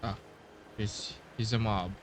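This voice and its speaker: A man giving casual, informal playthrough commentary in a halting way, as a gamer talking over a video game. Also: on the low side, steady tone, background noise. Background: game music.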